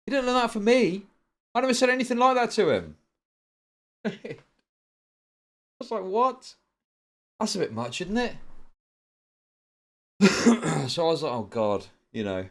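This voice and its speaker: A middle-aged man with a British accent hosting a livestream, chatting casually and telling stories in a rambling, sweary, animated way. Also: high voice, a little slow, long pauses. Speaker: livestream host. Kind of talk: casual chat to a livestream audience.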